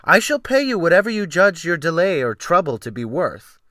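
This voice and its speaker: Man playing an indignant, animated genteel gentleman. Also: fairly high pitch, moderate pace, no echo, studio quality, voice pushed up. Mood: firm, stiff. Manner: prim, protesting. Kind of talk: dramatic audiobook character acting.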